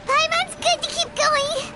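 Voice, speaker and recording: Super high squeaky little fairy sidekick for a game, perky n touchy, drawn-out words. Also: female voice, fairly even intonation. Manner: refers to self in third person, exclamatory. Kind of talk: cartoonish video game voice acting.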